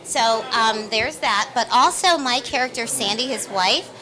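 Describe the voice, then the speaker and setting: A woman's voice: on the high side, a little slow, a crowd in the background, rough recording. A woman, a stage actress, giving conversational interview answers in an earnest, emphatic manner.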